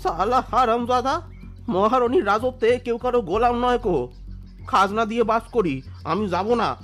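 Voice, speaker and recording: Man acting a high-voiced, defiant peasant. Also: moderate pace, a bit bright, soft background music. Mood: outraged, defiant. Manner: bitter, defiant. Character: defiant, bitter poor male peasant. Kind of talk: audio drama acting.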